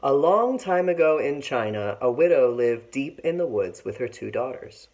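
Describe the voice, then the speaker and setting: Low-voiced, very animated woman reading a story. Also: normal speed, muffled recording. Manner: expressive, clearly phrased, explanatory. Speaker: teacher reading aloud. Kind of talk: storybook read-aloud with short lesson asides.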